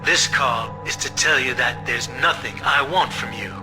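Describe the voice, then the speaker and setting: Casting: male actor for cruel espionage boss villain, audio drama; measured menace, mid-pitched, music behind. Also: close to an everyday voice. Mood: cold menace. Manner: menacing, articulate, deliberate threats. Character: cold sadistic male espionage chief, villain taunting his enemy. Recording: music bed under the voice.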